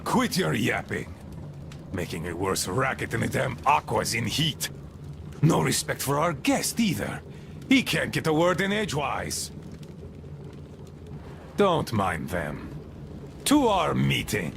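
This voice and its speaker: A heavily gravelled, deranged old admiral rambles in a slow, scornful drawl that swings widely between mutter and bark. It is dramatic game acting over a clearly noisy rumble. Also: male voice, big pitch swings, exaggerated voice. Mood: irritable. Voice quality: gravelly, creaky. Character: bitter, deranged old admiral holding court over tea.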